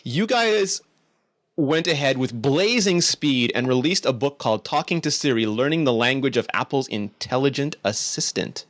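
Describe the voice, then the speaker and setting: A man's voice: mid-range voice, lively intonation, fairly bright tone, no echo. Joking man hosting a tech podcast. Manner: playful, quick quips. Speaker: podcast host. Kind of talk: casual tech podcast chat.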